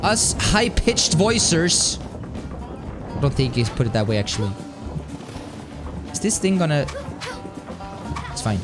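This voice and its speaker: A man with a light, high voice chats animatedly through a live game stream. His pitch jumps up and down as he rambles and laughs, and music plays behind him. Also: sing-song, a little slow, long pauses. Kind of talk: casual live-stream chat while playing. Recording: music playing underneath.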